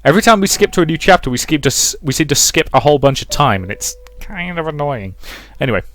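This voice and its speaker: A man's voice: on the high side, expressive, fast, a bit bright. A man hosting a let's play, reading a visual novel aloud and commenting on it, with music playing behind him.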